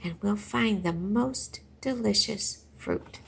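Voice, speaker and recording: A woman playing a loving mother bat in a children's storybook, reassuring and gently commanding. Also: mid-range voice, slow, gravelly, a little hiss or hum. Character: mother bat, loving, assured, guiding grown female.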